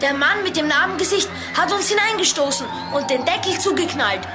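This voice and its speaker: An excitable, dramatic kid with a very high voice. It is a young detective in a children's radio play, with music behind. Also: female voice, fairly quick. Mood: shaken.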